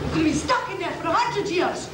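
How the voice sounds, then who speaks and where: A woman playing an irritable, bullying master who scolds a dim servant in shouty, exasperated amateur pantomime style. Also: very high voice, lively intonation, moderate pace. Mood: furious. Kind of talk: amateur pantomime stage acting. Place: on stage in a high school hall. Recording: distant mic in noisy, echoey school hall.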